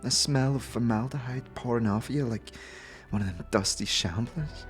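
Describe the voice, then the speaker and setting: A man's voice: mid-range voice, moderate pace, soft background music, close to an everyday voice. Man voicing a teasing British tiefling girl, slangy. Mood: teasing, bold.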